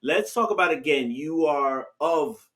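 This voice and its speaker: Guy hosting a music show interview, kinda high voice, lots of encouraging shout-outs. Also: lively intonation, a little slow. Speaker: interview host.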